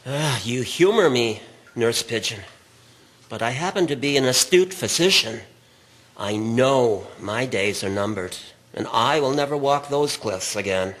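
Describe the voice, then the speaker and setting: A man's voice: mid-range voice, lively intonation, unhurried, a bit bright. A man playing an ailing, melancholy army doctor in a radio drama, weary, courteous and formally reflective. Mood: wistful, resigned.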